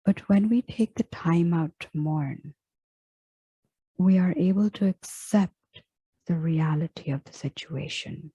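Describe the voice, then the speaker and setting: Very slow, soft-spoken woman guiding meditation. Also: on the low side, breathy edge, dry recording, low-quality recording. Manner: gentle, hushed, measured with long pauses. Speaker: meditation guide and yoga teacher. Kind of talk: slow guided meditation, scripted.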